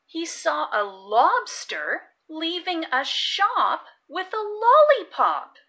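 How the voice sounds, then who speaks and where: A woman reading a children's picture book aloud and teaching phonics, expressive and deliberate, with sing-song emphasis on key words. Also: very high voice. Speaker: children's read-aloud narrator.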